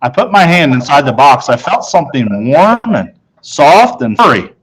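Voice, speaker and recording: A man's voice: fairly high pitch, sing-song, normal speed, slightly rough, rough recording. A man reading a first-person children's story aloud as its narrator. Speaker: storybook reader.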